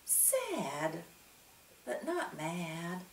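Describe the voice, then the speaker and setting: A calm, soft-spoken wooden girl, voiced by a woman reading a storybook, answers quietly and gently. The voice echoes in a roomy space, caught from a distance. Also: on the low side, drawn-out words, slight background noise, heavily acted. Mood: gentle. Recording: roomy room, distant mic.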